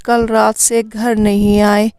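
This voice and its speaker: A woman's voice: medium pitch, flat delivery, slow pace, a little mellow, dry recording. A woman voicing a worried, fearful village wife in a horror cartoon story, with an anxious delivery. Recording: music behind the voice.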